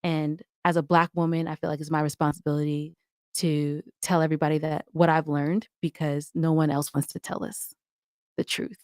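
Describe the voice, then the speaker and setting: A woman's voice: low voice, flat delivery, moderate pace, darkish tone, smooth, dry recording, clean studio sound. A woman speaking as a podcast guest in casual interview chat, emphatic and motivational, often checking in with "right?".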